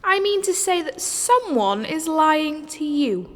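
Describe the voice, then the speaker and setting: High-voiced, condescending stage actress. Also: female voice, big pitch swings, slow pace, a little hiss or hum, heavily acted. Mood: indignant. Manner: theatrical, articulate, condescending. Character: poised, condescending, worldly successful stage actress. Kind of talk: audio drama acting. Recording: reverberant hall-like room.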